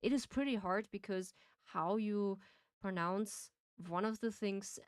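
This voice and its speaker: A woman's voice: medium pitch, a little slow, clean tone, no echo, studio quality. A young woman from Austria with an Austrian accent, chatting casually and laughing as she streams a game. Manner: chatty, rambling, laughs often, many restarts. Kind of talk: casual chat while playing and reading a game.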